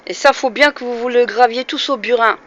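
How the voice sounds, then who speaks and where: A woman's voice: fairly high pitch, fairly quick, fairly bright tone. A woman in her forties from France, speaking to camera in an earnest opinion monologue that turns heated and emphatic, with frequent hesitations. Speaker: vlogger. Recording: slightly dull lo-fi recording.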